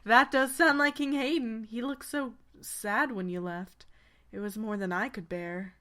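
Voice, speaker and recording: Devoted female knight, played by a woman, bright forceful voice, fairly high, formal, courteous, kind, fantasy game fandub. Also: measured pace. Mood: sympathetic. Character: adult female pegasus knight, kind, devoted, older-sisterly protector. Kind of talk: video game fandub acting.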